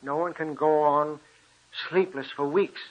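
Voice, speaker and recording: An actor playing a calm, authoritative doctor in 1940s radio acting style, steady and reasoning. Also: male voice, fairly high pitch, unhurried. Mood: firm. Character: calm, commanding male doctor friend. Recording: muffled old radio recording.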